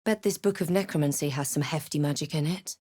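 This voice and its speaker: A woman voicing a wary, composed adventurer heroine for a fantasy video game, with measured, dramatic delivery. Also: sits low, a little mellow, slightly rough, no room sound, studio quality. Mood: watchful, measured.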